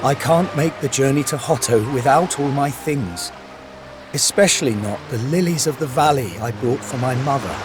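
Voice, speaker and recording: A man voicing a glum, worried male blacksmith who speaks slowly and warily, in a video game cutscene. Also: mid-range voice, close to an everyday voice. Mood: sorrowful. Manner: glum, wary, slow storytelling. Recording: game audio with loud music behind.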